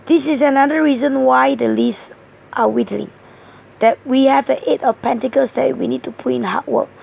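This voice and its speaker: A hoarse woman giving a tarot card reading, explaining each card point by point in an earnest way. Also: high voice, moderate pace, darkish tone. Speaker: tarot reader.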